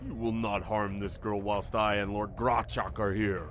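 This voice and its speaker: A protective, blunt lord played by a man in a fantasy audio drama. The voice is fairly low, firm and informal, with faint noise behind it. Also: level tone, unhurried, close to an everyday voice. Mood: commanding.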